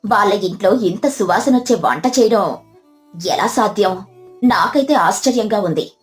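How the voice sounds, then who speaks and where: A woman's voice: mid-range voice, lively intonation, moderate pace, faint music behind, lightly acted. A woman voicing a proud, snobbish wife of a village headman in a story, haughty and complaining. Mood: surprised.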